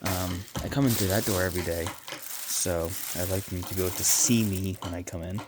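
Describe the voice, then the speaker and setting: A man chatting casually while cleaning his living room, meandering and offhand, with quiet mumbled asides, into a handheld phone. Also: low-pitched, fairly even intonation, brisk. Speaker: vlogger and pet owner. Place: home living room, indoors. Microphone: handheld phone camera. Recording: loud background noise.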